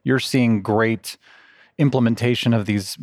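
A man's voice: medium pitch, steady tone, measured pace, a little mellow, no room sound, studio quality. A man hosting an interview, asking questions and affirming answers often. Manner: affirming, frequent backchannels. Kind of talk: conversational interview.

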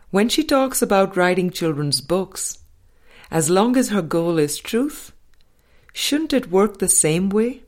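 A woman reading a spiritual book aloud as an audiobook narrator, in measured, deliberate phrases with pauses. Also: mid-range voice, darkish tone. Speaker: audiobook reader.

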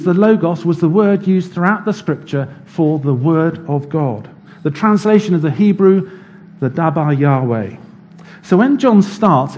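A man delivers a sermon in a measured, explanatory way, walking his listeners through scripture step by step. His voice sits a little high for a man, with a warm, mellow tone. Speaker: preacher. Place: church.

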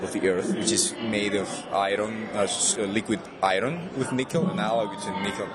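A man's voice: on the low side, fairly quick, a loud crowd. Venezuelan-accented man explaining earnestly. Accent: Venezuelan Spanish. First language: Spanish. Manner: hesitant, many restarts and fillers. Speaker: PhD student guest. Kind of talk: casual interview explanation.

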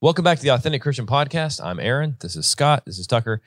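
Relaxed man co-hosting a podcast. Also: mid-range voice, quick pace, dry recording, clean studio sound. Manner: relaxed, lots of fillers. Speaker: podcast co-host. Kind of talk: casual podcast chat.

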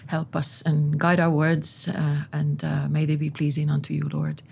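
A woman co-hosting a faith podcast, leading a reverent spoken prayer with pauses. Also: deep voice, flat delivery, creaky, darkish tone, slightly rough. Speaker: co-host.

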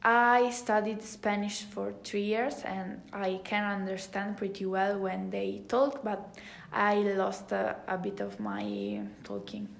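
A teenage Italian girl answers interview questions in casual, accented English, her second language. She speaks slowly and haltingly, searching for the right word. The room adds a noticeable echo to her voice. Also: mid-range voice, lively intonation, gravelly. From Italy. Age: teenager, high school student. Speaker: podcast guest, exchange student. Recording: roomy echo on the voice.

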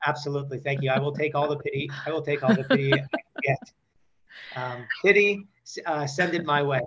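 A man's voice: fairly high pitch, steady tone, a little fast, fairly bright tone, highs cut off. A man in his forties hosting a podcast, bantering in a joking, self-deprecating interview style. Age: 40s. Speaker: podcast host.

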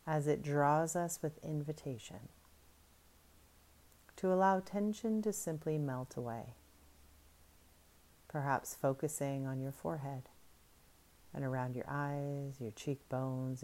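A woman guiding a breathing meditation in soft, soothing, unhurried instructions. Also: low voice. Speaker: meditation guide.

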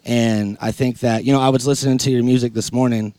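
A man's voice: mid-range voice, fairly even intonation, quick pace, mellow, smooth, no room sound. A man hosting a podcast, chatting in a rambling, slangy and enthusiastic way. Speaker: podcast host. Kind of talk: casual podcast interview chat.

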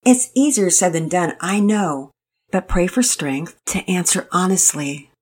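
A gentle, earnest mother giving calm counsel, read by a woman. The voice is low-pitched and a little husky, and it speaks steadily. It has a patient, guiding manner. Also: unhurried. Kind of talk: storybook reading.

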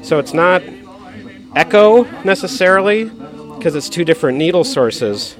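A man's voice: fairly high pitch, expressive, normal speed. A man explaining things in a casual, conversational way as a record collector and DJ giving a hands-on demonstration. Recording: music playing behind the voice. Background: old records playing.

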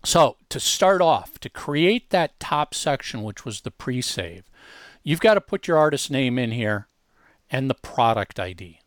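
Male tutorial host, mid-pitched and dry-sounding, informal, hammers key points directly at the listener. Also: sing-song, a little slow, dry recording. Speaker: co-host demonstrating an online tool. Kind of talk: casual software walkthrough.

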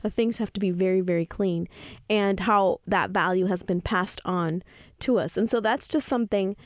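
A woman's voice: mid-range voice, normal speed, no echo, clean studio sound. A woman from the Dominican Republic, a parenting expert on a podcast, explaining earnestly with some restarts. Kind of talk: podcast discussion.

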